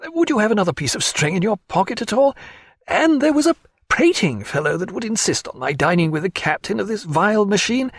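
A man doing an excitable, exasperated surgeon. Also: a little fast, no room sound, studio quality, full character voice. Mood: vexed. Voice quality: high, exclaiming, agitated.

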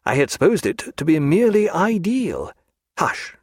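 A man voicing an excitable, learned birdwatcher aboard a sailing ship in an audiobook, with formal, old-fashioned, exclamatory speech. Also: a little high, normal speed, breathy edge, dry recording, clean studio sound, voice pushed up. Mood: rapt excitement. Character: excitable, learned ship's man, keen birdwatcher, easily outraged.

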